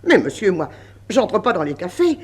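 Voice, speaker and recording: A timid unmarried cook acted by a woman in a 1960s radio drama. She is prim and easily flustered, exclaiming in a mid-pitched voice. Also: big pitch swings, normal speed, lightly acted. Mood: alarmed, protesting. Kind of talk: early 1960s French radio drama acting.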